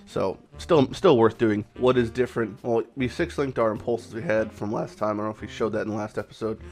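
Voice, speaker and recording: A man with a raspy voice, hoarse from a cold, hosting a casual game commentary vlog in a rambling, off-the-cuff way with some swearing. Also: lowish voice, fairly even intonation, fairly quick, a little mellow, soft background music. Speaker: gaming channel host.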